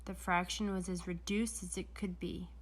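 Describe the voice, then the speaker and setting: A woman's voice: lowish voice, little pitch movement, moderate pace. A woman narrating a children's story aloud, with dramatic storytelling emphasis. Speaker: storybook reader.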